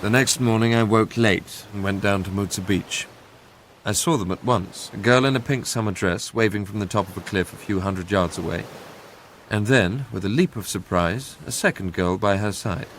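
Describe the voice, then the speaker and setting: An actor playing a young Englishman who recounts his past in a measured, literary way for a radio drama. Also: fairly low pitch, fairly bright tone, slight background noise, lightly acted. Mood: measured recollection. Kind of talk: radio drama first-person narration.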